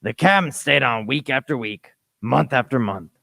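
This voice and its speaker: Man as adventure storyteller, forceful bright voice, some fry, earnest, dramatic scripted radio narration. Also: medium pitch, lively intonation, moderate pace, heavily acted. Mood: haunted.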